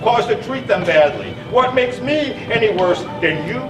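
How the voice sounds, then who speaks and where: A man acts out an eager, pedantic chatterbox in a stage play, his high voice swinging up and down. He rambles excitably and repeats himself. It is picked up from far off in an echoey space. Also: normal speed. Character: chatty, eager know-it-all male psychiatric patient named Ethan. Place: theatre stage, recorded from a distance. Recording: echoey stage recording, distant mic, music.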